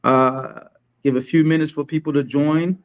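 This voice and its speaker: A man from Chad hosting an online talk show, speaking casually with frequent restarts and pauses as he sets things up and welcomes viewers. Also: a little high, fairly even intonation, clean tone. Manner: halting, many restarts while setting up. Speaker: host. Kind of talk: casual livestream interview hosting.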